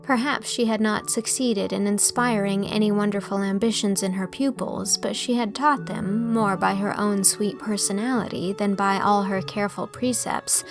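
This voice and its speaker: A woman's voice: mid-range voice, fairly even intonation, normal speed, few pauses. Woman narrating a storybook over faint music. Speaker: audiobook narrator.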